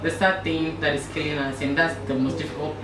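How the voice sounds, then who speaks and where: A woman's voice: low-pitched, flat delivery, a little fast, roomy sound, recorded from a distance, background noise. A Ghanaian woman who owns a restaurant, talking confidently and proudly in a casual interview, laughing easily. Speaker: restaurant owner.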